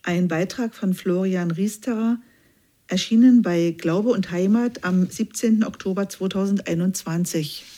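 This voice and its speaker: A woman reading a church news report in a calm, measured scripted narration. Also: mid-range voice. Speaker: news reader.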